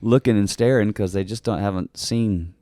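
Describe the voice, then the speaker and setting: A man's voice: on the low side, moderate pace, mellow, no echo, clean studio sound. A man hosting a podcast, casually guiding his guest with prompting questions. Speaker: podcast host. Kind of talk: interview questions, conversational.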